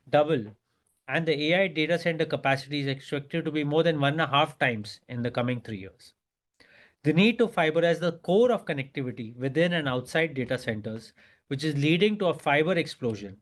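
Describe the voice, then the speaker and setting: A man with an Indian accent reads a prepared earnings presentation in a formal, scripted manner as a company executive. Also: on the high side.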